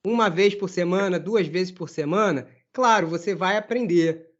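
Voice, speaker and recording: A man from Brazil hosts a language podcast, speaking in a friendly, affirming and measured way. His voice sits high for a man. The sound is dull and lo-fi. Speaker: podcast host and Portuguese teacher. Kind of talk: podcast interview hosting.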